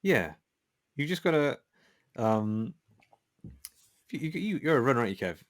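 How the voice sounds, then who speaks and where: A man speaking as a podcast guest in a casual conversation, reflective and candid, often halting as he works out his thoughts. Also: mid-range voice, very animated, no echo, studio quality.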